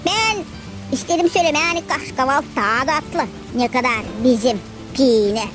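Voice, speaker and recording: A woman's voice: high-pitched, expressive, moderate pace, over music. A woman voicing a cheeky, opinionated child in a cartoon, speaking with a Gagauz accent. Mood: insistent. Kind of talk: animated cartoon dialogue.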